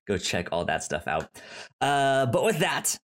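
A man with a mid-pitched voice talks casually about films as a podcast co-host. His delivery is slow and marked by a pronounced stutter, with repeated sounds and syllables. He rambles along enthusiastically. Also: sing-song, a bit bright. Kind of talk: casual film discussion.

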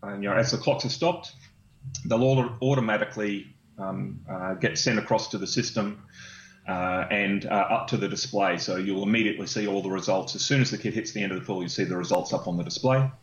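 A man's voice: on the low side, brisk, slight background noise. Man walking through a technical process, hesitant fillers, roomy and muffled recording. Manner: explanatory, many ums and uhs. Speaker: guest explaining swim-meet software. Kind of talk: casual podcast interview. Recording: dull, echoey room sound.

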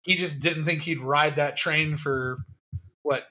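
Bright-voiced man chatting about a film. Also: a little high, steady tone, slight background noise. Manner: quick, hedging, laughs often. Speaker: podcast co-host. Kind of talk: casual film discussion.

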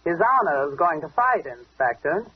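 An actress playing a tough, businesslike lawyer with a clipped manner in 1940s radio drama style. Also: female voice, sits low, sing-song, moderate pace. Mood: guarded. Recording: dull hissy old radio recording.

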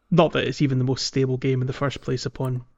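A man's voice: medium pitch, a little mellow, dull audio. A man co-hosting a gaming podcast, chatting casually about a video game. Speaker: podcast co-host.

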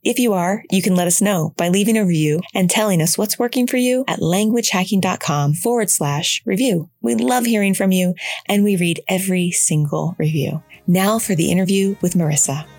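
A woman's voice: medium pitch, normal speed, a little mellow, no echo. A woman co-hosting a podcast interview, talking in an upbeat, chatty way. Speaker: podcast co-host.

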